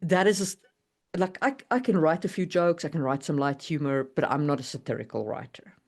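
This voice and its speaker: A woman co-hosting a podcast, chatting casually about writing in an animated way and often checking for agreement. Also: deep voice, fairly quick. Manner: animated, seeks agreement with "you know what I mean". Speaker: podcast co-host and writer.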